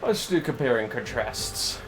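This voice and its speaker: A man's voice: very animated, a little slow, music in the background. A man livestreaming a game, muttering casual, wry, offhand commentary to himself. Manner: low-key, wry, offhand.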